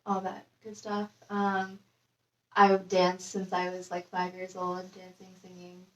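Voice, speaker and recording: A young woman answering interview questions in a relaxed, filler-heavy way. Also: fairly low pitch, a little slow. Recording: dull, echoey, distant.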